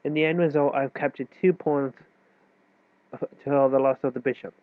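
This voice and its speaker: A man's voice: medium pitch, steady tone, moderate pace, dry recording. A man with a stuffy, nasal voice from a cold, explaining chess moves in a loose, self-correcting way. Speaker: chess teacher. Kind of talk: casual chess tutorial explanation. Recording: tinny, dry phone-like recording.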